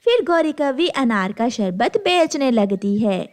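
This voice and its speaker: High, lively woman storyteller. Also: a little slow. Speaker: story narrator. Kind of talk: scripted children's story narration.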